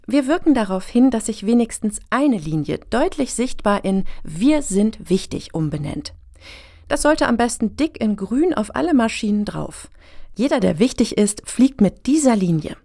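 An actress in a satirical radio play as a self-important, gushing middle-aged woman pitching her initiative with earnest jargon. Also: animated, normal speed, warm tone, dry recording, studio quality.

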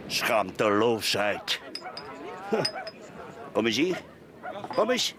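Blustering, grumpy middle-aged Flemish man, swooping pitch. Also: very animated, very slow, a crowd in the background, exaggerated voice. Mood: boastful. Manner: blustering, grumbling, exclaims and mutters. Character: grumpy, prudish, boastful heavyset middle-aged bourgeois beach-café customer. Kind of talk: radio play acting.

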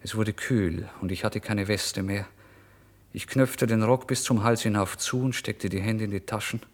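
A man's voice: fairly low pitch, fairly even intonation, normal speed, close to an everyday voice. Brooding, despairing writer played by a man. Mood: resigned. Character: despairing starving male writer, brooding, tormented. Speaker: actor narrating in first person. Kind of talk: literary radio play monologue.

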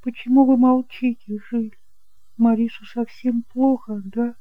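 Мужчина озвучивает тревожную, печальную и усталую женщину, тётю героя, в аудиоспектакле; манера жалобная, просящая. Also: male voice, very high voice, flat delivery, measured pace, no room sound, narrow, tinny audio, pitched above the performer's own voice. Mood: anxious, pleading. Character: anxious, sorrowful young aunt, weary and tearful. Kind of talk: audiobook drama acting.

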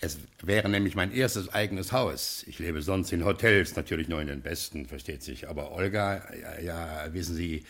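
A boastful racketeer swings between bluster and stammering fear. His voice is deep and husky. The performance belongs to a 1950s radio crime play. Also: normal speed, few pauses, a bit bright, heavily acted. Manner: stammering, boastful then fearful, drops to whispers. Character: blustering rich gangster racketeer, nervous and boastful.

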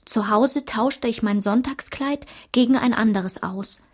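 A sombre woman looking back on her childhood in a literary radio play. Her voice is fairly high and husky, measured and restrained, sometimes sinking toward a whisper. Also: darkish tone. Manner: measured, at times dropping to a whisper.

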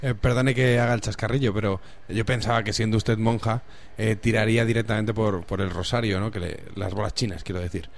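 A man's voice: lowish voice, steady tone, fairly quick, vocal fry, few pauses. A man hosting a comic radio interview, teasing his guest with puns. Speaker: radio host.

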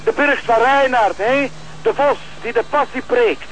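A man's voice: very high voice, measured pace, a bit bright, slight background noise, poor audio. A man playing a keen police partner reporting in, in a television crime drama. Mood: urgent.

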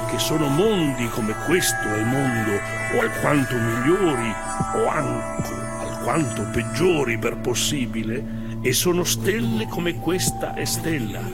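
Unbowed Renaissance philosopher, for stage monologue. Declamatory, measured, formal, over prominent music. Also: male voice, medium pitch. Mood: solemn, assertive. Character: defiant male Renaissance philosopher, solemn and unbowed.

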